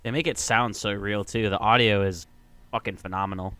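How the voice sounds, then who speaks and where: A man's voice: on the low side, steady tone, brisk, sharp tone, smooth, no room sound. A man giving casual, slangy, profanity-laced reaction commentary as a YouTuber. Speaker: YouTuber reacting to horror videos.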